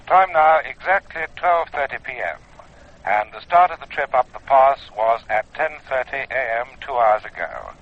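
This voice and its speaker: A man's voice: medium pitch, lively intonation, normal speed. Man reporting, dull vintage radio sound. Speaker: expedition reporter. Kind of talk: descriptive running commentary, vintage radio. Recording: dull old radio field recording.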